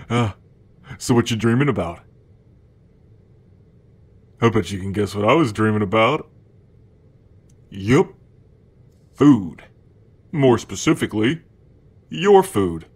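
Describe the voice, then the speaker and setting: Man acting as a hungry demon, playful, grumpy, casual slang, lowish voice, very leisurely pace, ASMR roleplay. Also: very animated, slow pace, pauses often, husky, exaggerated voice. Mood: playful, eager. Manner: casual slangy talk with frequent chuckles. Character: gluttonous male demon, playful, childlike, grumpy, always hungry. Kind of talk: ASMR roleplay addressed to the listener.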